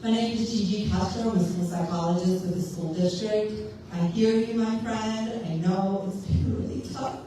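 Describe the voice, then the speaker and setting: A woman from South Africa, a school psychologist, giving a loud, emotional public comment at a town hall. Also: mid-range voice, fairly even intonation, moderate pace. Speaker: school psychologist speaking as an audience member. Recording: echoey hall, voice from far off, faint noise.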